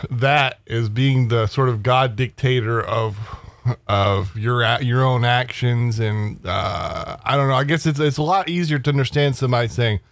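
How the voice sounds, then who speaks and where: A man's voice: medium pitch, moderate pace, no echo. Male co-host, hesitant and rambling, dry sound. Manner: hesitant, trailing off, lots of fillers. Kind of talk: casual discussion.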